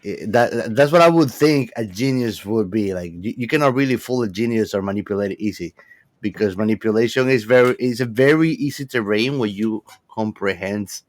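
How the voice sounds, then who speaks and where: A man in a casual film discussion, giving blunt opinions in a halting, wordy way with some swearing. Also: lowish voice, dry recording. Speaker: podcast co-host discussing a film.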